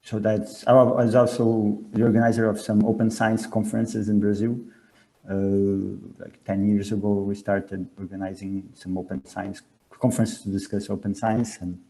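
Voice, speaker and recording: A man with a low, gravelly voice and a mellow, dark tone. He talks in a halting way, full of fillers and restarts, as he speaks at a conference session over a video call. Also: rough recording. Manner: hesitant, many restarts and fillers. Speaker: researcher and workshop co-facilitator.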